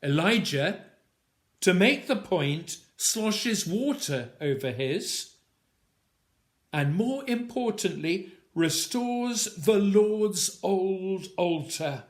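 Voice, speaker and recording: Very slow, deliberate man reciting poetry. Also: sits high, lively intonation. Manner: measured, deliberate, short phrases with pauses. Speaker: poet reading own work. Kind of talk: dramatic poetry recitation of a Bible story.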